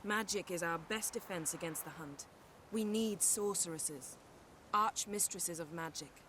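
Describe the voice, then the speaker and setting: Cool, low-pitched, commanding sorceress. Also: female voice, unhurried, bright, forceful tone, slight background noise. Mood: resolute. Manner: poised, decisive, formal. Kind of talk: video game voice acting.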